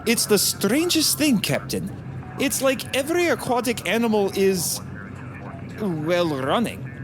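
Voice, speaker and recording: A man's voice: sits high, sing-song, unhurried, music in the background. A man playing an earnest, dutiful executive officer in an audio drama, formal and concerned. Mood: puzzled. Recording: clear background noise.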